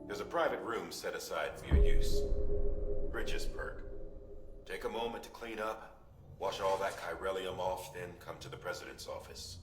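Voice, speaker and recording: Actor as an echoey, deep-voiced courteous official. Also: male voice, moderate pace, close to an everyday voice. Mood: polite, businesslike. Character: courteous, businesslike male senior official. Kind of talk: video game cutscene acting. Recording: echoey, loud music behind.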